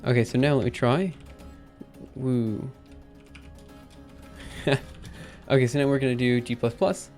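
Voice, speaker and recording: A man live-streaming his coding session, thinking aloud in an upbeat, chatty way while typing, his voice a little raspy. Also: medium pitch, a little slow, pauses often, a little mellow, soft background music. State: slightly raspy after a drink. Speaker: programming livestreamer. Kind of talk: live coding stream, casual talk-through.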